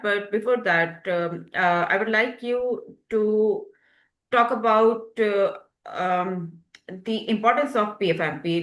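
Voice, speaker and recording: A woman's voice: lowish voice, reverberant. A woman hosting a webinar interview, polite and hesitant, with many fillers. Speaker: webinar host.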